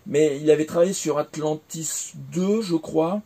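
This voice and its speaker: A man's voice: fairly high pitch, a little slow, dark tone. A French man in his forties, recounting his career in a casual interview, hesitant with many fillers and restarts. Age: 40s. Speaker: interviewee, former game developer.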